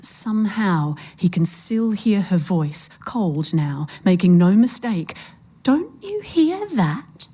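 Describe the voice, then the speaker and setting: A woman's voice: fairly low pitch, warm tone, slightly rough. A woman narrating a suspenseful short story in a literary reading style.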